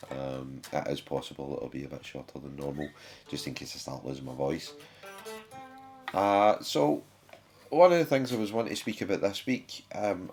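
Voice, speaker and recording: A man's voice: sits low, darkish tone, slight background noise. A man with a sore throat, hosting his own channel and talking casually to camera, with many fillers and restarts. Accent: British. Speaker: YouTube channel host.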